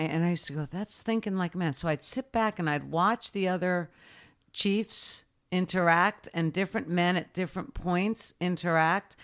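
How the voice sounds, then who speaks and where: A woman's voice: on the low side, fairly bright tone. A woman in her sixties, a guest in a casual interview, speaking emphatically and directly with short, punchy repeated advice. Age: 60s.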